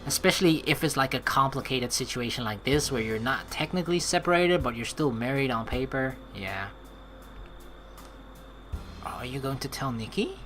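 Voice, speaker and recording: A streamer talks through a story game in a casual, conversational register. The delivery rambles as thoughts are worked out aloud, at a medium pitch. Game music plays softly behind the voice. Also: lively intonation, moderate pace. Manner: rambling, conversational, thinking aloud, mild swearing. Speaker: let's play streamer. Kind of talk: casual gameplay commentary mixed with reading game text aloud.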